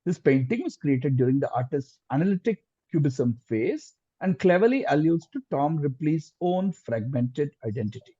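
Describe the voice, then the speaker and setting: Guy hosting an online quiz, mellow slightly rough voice, muffled lo-fi audio. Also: on the high side, sing-song, moderate pace, few pauses. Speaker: quizmaster. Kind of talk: reading quiz questions in an online quiz. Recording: dull lo-fi call audio.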